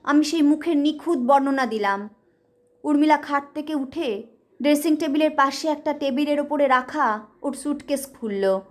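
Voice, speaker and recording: A woman narrating a scripted ghost story in a measured, deliberate, dramatic way. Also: sits high.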